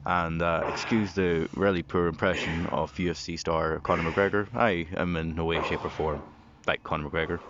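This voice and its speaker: A man gives a voice-over in a very deep, husky voice, dry and self-mocking. The track sounds dull and lo-fi, with clear noise hissing behind him. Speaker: vlogger. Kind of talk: voice-over commentary.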